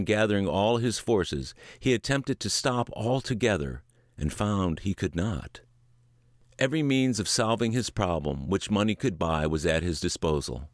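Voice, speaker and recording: A low-voiced middle-aged man reads a book aloud word for word, steady and earnest. His intonation swings widely and sinks at the close of each sentence. The recording is dry and close, with no room sound. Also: no room sound. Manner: measured, earnest reading with dips at sentence ends. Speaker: audiobook reader.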